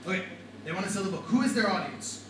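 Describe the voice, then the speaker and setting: A middle-aged man teaching a classroom lecture on rhetoric, lively and asking the class questions. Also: high-pitched, fast. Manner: animated, talks to the class with questions. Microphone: far from the mic. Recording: echoey classroom from far off, noisy.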